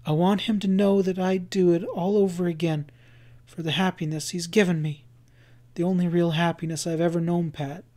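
A man's voice: a little high, steady tone, a little slow, close to an everyday voice. A man reading the part of a feverish young woman in labor, talking faintly and pleadingly. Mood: fervent, pleading. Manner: soft, pleading, breathy and faint.

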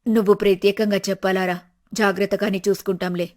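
A woman voicing a worried, practical older wife and mother in an animated family story, plain-spoken and resigned. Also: medium pitch, fast, natural voice. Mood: practical, caring.

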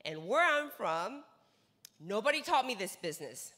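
Woman, North American accent, 40s, stage lecture, handheld mic, lively pitch, bright tone, long hall reverb. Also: normal speed. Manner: emphatic, repeats for stress, asks rhetorical questions. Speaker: business seminar speaker. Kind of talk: motivational sales lecture.

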